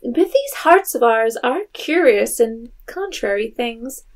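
A woman's voice: fairly high pitch, animated, slow, exaggerated voice. A woman narrating a literary audio drama in a scripted storytelling style.

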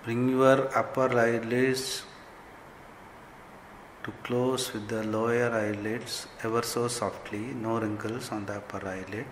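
A man leading a guided meditation as a spiritual teacher, giving gentle instructions in short, measured phrases. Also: medium pitch, flat delivery. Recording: long hall reverb, faint background noise.

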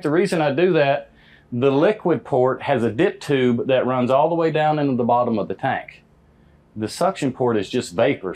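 A middle-pitched American man teaches as an experienced trade technician. He talks in a folksy, plain-spoken manner, walking through a hands-on demonstration step by step. The delivery is casual and practical. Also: a little mellow. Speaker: HVAC technician instructor. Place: indoor parts warehouse. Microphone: lapel mic.

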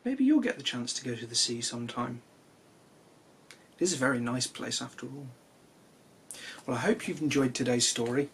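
A man's voice: medium pitch, animated, moderate pace, long pauses, slight background noise. A man in his thirties reading a children's storybook expressively, speaking simply to young listeners.

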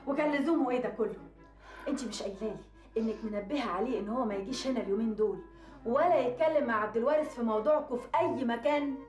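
An actress voicing an anxious Egyptian woman in a TV drama, high and quick as she scolds. The room adds a noticeable echo, with faint music below. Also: a little mellow, natural voice. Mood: reproachful. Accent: Egyptian Arabic. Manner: reproachful, scolding. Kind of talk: TV drama acting.

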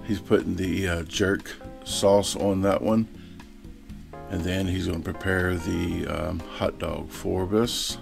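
A man's voice: sits low, flat delivery, takes it slowly, vocal fry, music in the background. A man narrating a food review as a casual voice-over, rambling and laid-back, full of ums and uhs.

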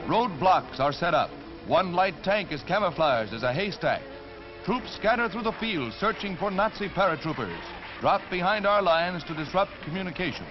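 A dull, old newsreel soundtrack with clear music behind the voice. An American man narrates in a high-set, formal announcer voice. His dramatic delivery swings widely in pitch in true 1940s style. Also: very animated, moderate pace.

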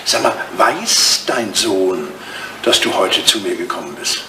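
Elderly English aristocrat, radio drama: drily teasing, jealous suitor, animated delivery, set back in a roomy, hissy old recording. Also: male voice, deep voice, measured pace, far from the mic, exaggerated voice. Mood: droll, teasing. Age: older. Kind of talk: German radio play dialogue, retro 1980.

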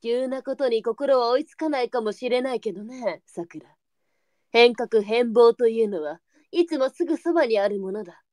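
A woman's voice: on the high side, moderate pace, darkish tone, no echo, studio quality, close to an everyday voice. A woman playing a worldly, firm but kindly brothel madam, composed and matter-of-fact, in a Japanese period audio drama. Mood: composed, advising.

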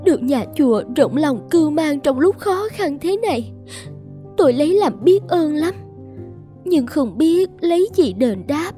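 A woman voicing a lovesick, scheming young woman who plays helpless, plaintive and coquettish, in an animated folk tale. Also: sits high, a little slow, soft background music. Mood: meek, grateful. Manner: plaintive, coquettish, emotionally dramatic.

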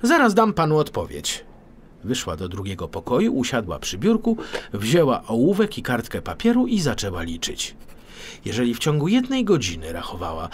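A man narrating a children's audiobook in an expressive, scripted storytelling style. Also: on the high side, breathy edge.

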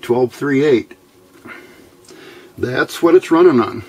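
Slow-talking man giving a hands-on tutorial. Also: medium pitch, expressive, husky. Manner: plain-spoken, unhurried, halting explanation. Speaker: electronics hobbyist demonstrating a build. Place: indoor workbench.

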